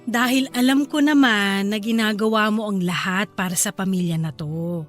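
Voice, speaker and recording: A woman's voice: mid-range voice, normal speed, soft background music, natural voice. An actress playing a caring young wife and new mother in a radio drama, sweet and affectionate. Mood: tender.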